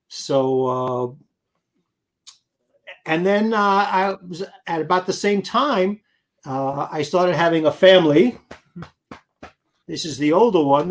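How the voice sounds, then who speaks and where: An older man from New York City, a guest musician telling his story in a rambling, anecdotal way with many fillers. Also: a little high. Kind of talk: casual interview chat.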